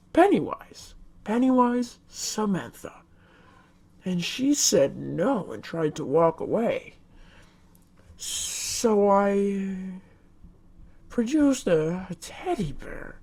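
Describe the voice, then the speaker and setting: A woman's voice: mid-range voice, big pitch swings, extremely slow, mellow, husky, full character voice. A woman playing a sadistic killer clown monster in a horror broadcast drama, with childlike mock innocence and giggling menace. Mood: sly, taunting. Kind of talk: horror monologue in a fictional broadcast hijack.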